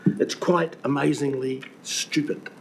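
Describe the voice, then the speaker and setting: Croaky older New Zealand man, indignant. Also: mid-range voice, steady tone, takes it slowly. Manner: earnest and indignant, many ums and restarts. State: croaky from recent flu. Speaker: presenter addressing a council committee. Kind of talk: public submission to a committee. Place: council meeting room. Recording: webcast with faint room noise.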